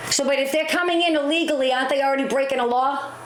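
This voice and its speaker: A hoarse woman hosting a call-in talk show, brash and teasing, pushing her caller for answers. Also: high-pitched, rapid-fire, roomy sound, a loud crowd. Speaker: host.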